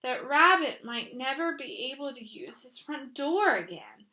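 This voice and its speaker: A woman voicing a gentle, anxious boy bear in a storybook reading, speaking slowly and plaintively. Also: high-pitched, big pitch swings, heavily acted. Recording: roomy echo.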